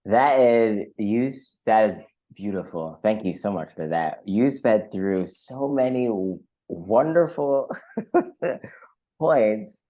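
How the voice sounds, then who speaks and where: A man's voice: fairly low pitch, lively intonation, slow, dull audio. A man hosting a conversational interview podcast, effusive in his praise and often repeating words as he feels his way through a phrase.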